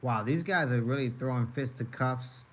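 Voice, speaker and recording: A man's voice: mid-range voice, moderate pace, fairly bright tone. Rambling American man live-streaming. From United States. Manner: rambling, repeats phrases, many fillers. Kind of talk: casual live-stream chat reacting to videos.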